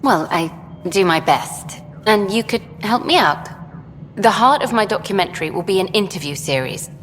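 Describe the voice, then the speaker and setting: An actress playing an eager, idealistic documentary journalist in a video game, brisk and frank. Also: female voice, mid-range voice, animated, a bit bright, close to an everyday voice. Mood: friendly, persuasive. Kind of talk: video game dialogue acting. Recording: long hall reverb, faint noise.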